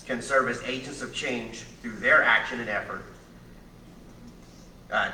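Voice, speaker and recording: Presenter, male, loud and projecting, bright tense tone, read from a distance in a roomy courtroom. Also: mid-range voice, a little hiss or hum. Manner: loud projecting voice, speaking without a microphone. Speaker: community presenter reading a proclamation. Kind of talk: formal proclamation reading. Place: county courtroom. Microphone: room microphone from across the room. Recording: echoey courtroom picked up from afar.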